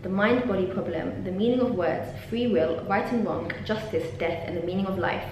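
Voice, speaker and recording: A woman's voice: medium pitch, normal speed. Chatty young woman in an echoey office. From London, England. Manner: chatty and animated, many ums and laughs. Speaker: PhD student vlogger. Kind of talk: casual vlog to camera. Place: university office lab, indoors. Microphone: camera on desk, then handheld selfie. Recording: very echoey room, faint hum.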